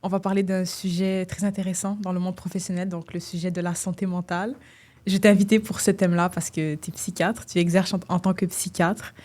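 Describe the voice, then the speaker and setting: A woman's voice: on the low side, little pitch movement, brisk, darkish tone. A young woman hosting a student podcast, asking informal, friendly questions with some fillers. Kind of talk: podcast interview.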